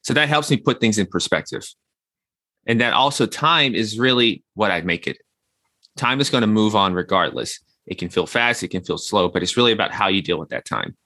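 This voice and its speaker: A man's voice: on the low side, expressive, a little fast, bright, forceful tone, dry recording, studio quality. A man in his thirties, a guest in an interview, talking reflectively and explaining ideas through everyday comparisons like gardening and baking. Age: 30s. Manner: reflective, uses everyday analogies. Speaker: guest, health consultant. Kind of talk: conversational interview.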